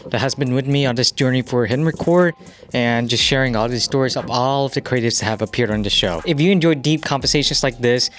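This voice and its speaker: A man's voice: mid-range voice, fast, fairly bright tone, a little hiss or hum. A man hosting a podcast, addressing viewers directly in a prepared thank-you message. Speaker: podcast host.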